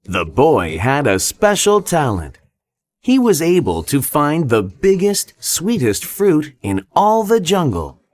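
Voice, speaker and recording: Male children's storybook narrator: expressive, highly animated, slow and deliberate. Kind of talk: scripted children's read-along narration.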